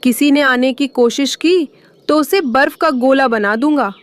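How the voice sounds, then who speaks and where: A woman's voice: sits high, unhurried. A woman voicing an eager little male chick helping his mother. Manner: eager, childlike.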